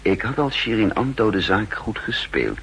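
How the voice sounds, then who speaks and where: Deep-voiced, shrewd radio spy. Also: male voice, sing-song, normal speed, gravelly, fairly bright tone, heavily acted, rough recording. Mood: tense narrating. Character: shrewd, calm male spy posing as counterintelligence chief. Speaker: lead character and narrator. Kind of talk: 1960s Dutch radio play acting. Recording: hissy, humming 1960s radio recording.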